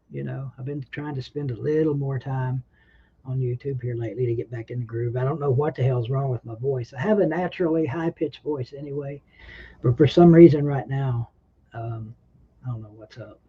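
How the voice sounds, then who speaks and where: A man's voice: mid-range voice, normal speed, slightly rough, muffled recording. A man hosting a casual livestream chat, rambling and laid-back, a bit short of breath. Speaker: livestream host.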